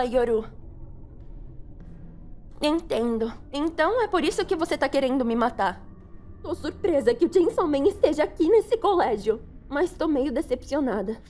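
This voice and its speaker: A woman's voice: high-pitched, a little mellow. A Brazilian woman voicing a cheerful, self-righteous schoolgirl with an earnest, menacing streak in an anime-style fan dub. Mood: calmly menacing. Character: cheerful, self-righteous schoolgirl turned justice devil contractor. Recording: music behind the voice.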